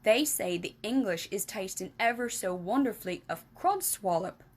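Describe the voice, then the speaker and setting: A woman's voice: expressive, moderate pace, natural voice. A woman reading aloud and voicing a big, kindly giant who mangles his grammar and invents words. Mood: explaining. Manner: jumbled grammar, made-up words, booming. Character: big friendly giant, kindly, muddled speech.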